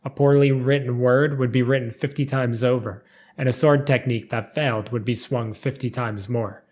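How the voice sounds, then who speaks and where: A man's voice: medium pitch, fairly even intonation, moderate pace, darkish tone. A man giving a scripted narration of a video game character's backstory. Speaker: narrator reading game lore.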